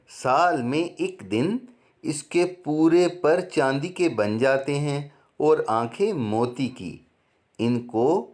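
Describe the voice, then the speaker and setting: A man voicing a scheming female water bird, a sly false friend who coaxes in a sing-song way, in a children's story. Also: medium pitch.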